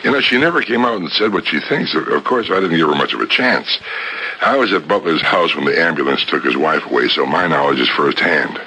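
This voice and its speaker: A pragmatic police captain, extremely low but forceful voice, brusque and skeptical, noisy vintage radio mystery. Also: male voice, lively intonation, fairly quick, full character voice. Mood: dismissive, reasoning. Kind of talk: 1970s radio drama acting. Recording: noisy old radio broadcast recording.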